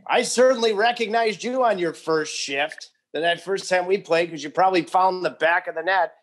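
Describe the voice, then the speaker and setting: A man's voice: sits high, moderate pace, fairly bright tone, a little hiss or hum. A man in his forties hosting a casual interview, joking and asking rambling, friendly questions. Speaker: podcast host.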